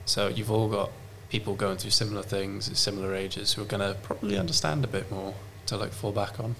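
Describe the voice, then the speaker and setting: Man, low gravelly voice, steady and filler-heavy. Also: a little fast, a little hiss or hum. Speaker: podcast co-host. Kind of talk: casual chat.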